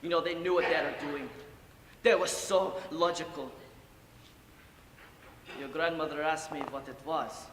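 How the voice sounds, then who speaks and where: A man performing a dramatic monologue as an old, boozy, guilt-ridden Ukrainian grandfather, speaking halting broken English. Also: a little high, steady tone, natural voice. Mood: guilty, haunted. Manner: halting broken English with sighs and murmured asides. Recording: echoey hall, distant, audible room noise.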